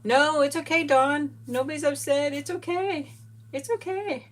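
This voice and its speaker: Candid grieving woman in her fifties. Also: high-pitched. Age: 50s. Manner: candid, rambling, with soft laughs and trailing pauses. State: grieving, close to tears. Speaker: vlogger chatting with live viewers. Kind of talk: casual live chat.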